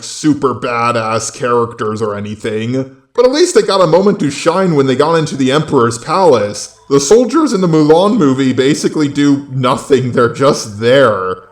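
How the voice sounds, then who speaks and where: A man's voice: mid-range voice, echoey room. A man giving sarcastic, exasperated, opinionated commentary on films and TV in the manner of an online video host. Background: background music.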